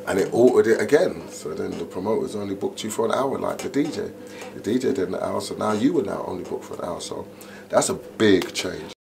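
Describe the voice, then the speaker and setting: A middle-aged man, an MC telling stories in an interview, animated and full of "you know". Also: low voice, a little fast, creaky, few pauses. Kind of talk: interview, casual storytelling. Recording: noticeable background noise.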